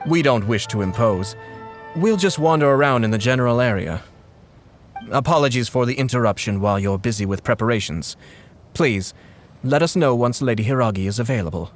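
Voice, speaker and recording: A man playing a courteous, shrewd young nobleman in a video game, speaking in a formal, measured, polished way. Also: mid-range voice, expressive, close to an everyday voice. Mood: polite. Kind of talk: English video game voice acting. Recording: background music under the voice.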